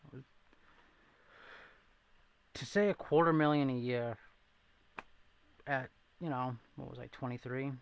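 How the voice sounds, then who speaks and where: A man's voice: medium pitch, measured pace, creaky, long pauses, smooth, no echo, muffled recording. A man from New England with a thin Boston accent, rambling and joking at his own expense as he hosts a casual livestream and answers viewers. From New England, near Rhode Island. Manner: rambling, self-deprecating, reacts to chat. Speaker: livestream host.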